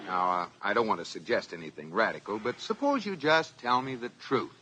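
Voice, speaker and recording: An actor playing a dry, exasperated banker husband in 1940s radio comedy style, with sarcastic, deadpan comebacks. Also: male voice, on the low side, fairly quick, heavy background noise, natural voice. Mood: sardonic. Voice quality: sardonic, deadpan.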